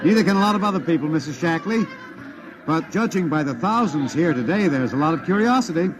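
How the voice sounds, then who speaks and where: A man acting as an excitable radio reporter giving live street commentary in a 1950s radio drama. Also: a little high, fast talker, natural voice. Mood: genial, probing. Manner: theatrical, descriptive, addressing listeners. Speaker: radio announcer. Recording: noisy vintage 1950s radio recording.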